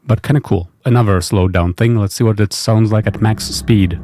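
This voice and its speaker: Low-voiced man, casual screencast narration. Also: normal speed, crowd noise. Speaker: software developer presenting own tool. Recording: noticeable background noise.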